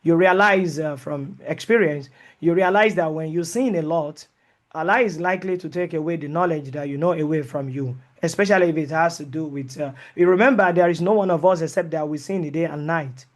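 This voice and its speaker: A man's voice: fairly high pitch, fairly quick. A man teaching an online Sharia lesson, who talks with many fillers, mixes in Arabic terms and speaks English as a second language. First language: not English. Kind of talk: online lecture with live Q&A.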